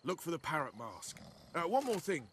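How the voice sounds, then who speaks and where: Game casting: courteous doorman, fussy, highish voice with lilting, animated intonation. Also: moderate pace, slight background noise. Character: polite, fussy male gate attendant servant. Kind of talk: video game character acting.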